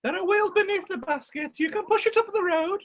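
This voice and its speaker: A woman's voice: very high-pitched, brisk, muffled recording. A woman playing a cranky, bossy older laundry mother in rhyming pantomime, declaiming her lines. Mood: bossy, dry.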